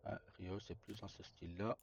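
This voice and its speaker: Low, gravelly man live-streaming. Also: steady tone, normal speed, dry recording. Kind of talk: casual live game commentary while creating a character. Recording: muffled audio with faint background noise.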